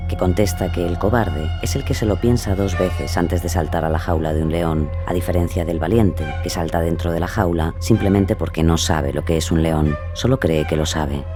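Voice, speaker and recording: A man with an accent from Spain reads scripted podcast narration in a measured, reflective, literary manner. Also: low voice.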